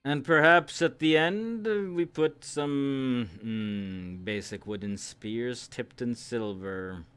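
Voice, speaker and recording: A man playing a formal, deliberate leader in a roleplay game, speaking in courteous, measured phrases with pauses. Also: medium pitch, fairly bright tone, clear, resonant tone. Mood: measured, scheming. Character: formal, deliberate male leader planning a monster hunt. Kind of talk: improvised roleplay in a virtual-world game.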